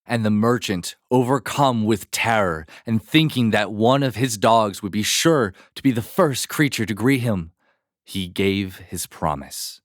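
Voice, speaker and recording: A man narrating a scripted fairy tale as a storyteller, measured, expressive and dramatic. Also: fairly low pitch, no echo, clean studio sound.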